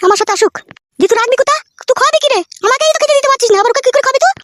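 A woman's voice: very high-pitched, racing, noisy background. A woman voicing a betrayed, scolding village wife in a comedy cartoon, with a rural West Bengal accent, ranting and wailing. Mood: furious. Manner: nagging, cursing, wailing rants. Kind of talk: comedy cartoon dub, melodramatic quarrelling.

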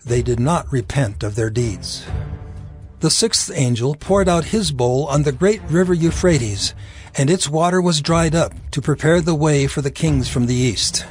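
Solemn male narrator, slightly high voice. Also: a little slow, a little mellow, faint music behind. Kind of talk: dramatized scripture narration.

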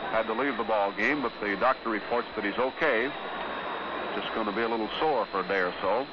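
A man's voice: on the low side, brisk. An American man calling baseball play-by-play in a 1950s radio commentary style. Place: ballpark broadcast booth. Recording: noisy, dull 1950s radio broadcast.

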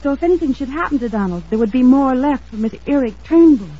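Anxious young woman, radio drama; husky, mellow, earnest, on a muffled old recording. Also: high-pitched, animated, fast. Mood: urgent, worried. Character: earnest, anxious young woman, defensive about her sweetheart.

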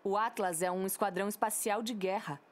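A woman's voice: mid-range voice, steady tone, fairly quick, natural voice. An actress playing a sarcastic, skeptical female space squadron member in an audio drama.

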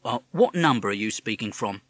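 A man's voice: lowish voice, animated, brisk, dry recording. A man voicing a British police officer who is formal, pompous and sarcastic.

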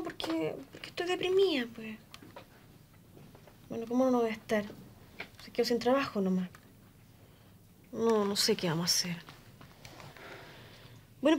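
A woman's voice: high-pitched, darkish tone, a little hiss or hum. A young woman with a Chilean accent playing a gloomy, pleading daughter in a 1990s telenovela.

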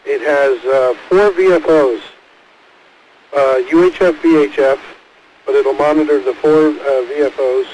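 A man's voice: mid-range voice, steady tone, measured pace, darkish tone. A man calling in casually to an amateur radio net over a two-way radio transmission. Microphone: mobile two-way radio mic. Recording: hissy, noisy ham radio transmission.

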